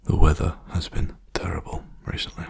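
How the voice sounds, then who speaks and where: A young man speaking softly in a hushed, breathy, soothing whisper, rambling casually for an ASMR video. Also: moderate pace. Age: young adult.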